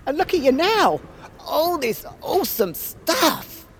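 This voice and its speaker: An actress playing a villain's eager, flattering henchman in an audio comedy, talking in a chummy, informal way. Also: high voice, takes it slowly, a little hiss or hum, exaggerated voice. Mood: admiring. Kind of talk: audio fiction comedy acting.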